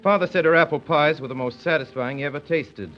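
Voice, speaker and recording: A man's voice: a little high, fast talker, soft background music, lightly acted. An actor playing a grown son who wryly recalls his childhood household as narrator of a 1930s radio play. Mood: fond, measured. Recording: dull vintage 1930s radio broadcast.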